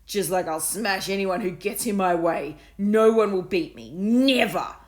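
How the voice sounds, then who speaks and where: A woman's voice: mid-range voice, voice pushed up, close to an everyday voice. Woman playing a gloating schoolboy bully. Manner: slow, savouring, taunting. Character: gloating bully schoolboy who relishes violence.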